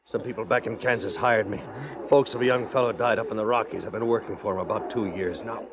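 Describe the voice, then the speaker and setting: An actor playing a dogged frontier detective with an American accent in an old western radio drama, deliberate and insistent. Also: male voice, on the low side, steady tone, a crowd in the background, close to an everyday voice. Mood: stern, accusing. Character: dogged, probing frontier detective pressing for a confession.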